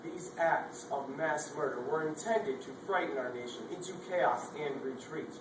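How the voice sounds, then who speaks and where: A woman's voice: low voice, normal speed, lightly acted. A young woman playing a resolute national leader. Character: president addressing the nation, resolute. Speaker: readers theatre performer. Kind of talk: formal address. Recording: echoey hall, distant, noisy.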